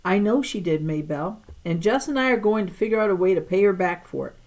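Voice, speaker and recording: A woman's voice: medium pitch, fast talker, darkish tone, close to an everyday voice, low-quality recording. Woman as young girl, sensible, soothing, well-spoken, loyal friend, storybook dialogue. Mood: reassuring.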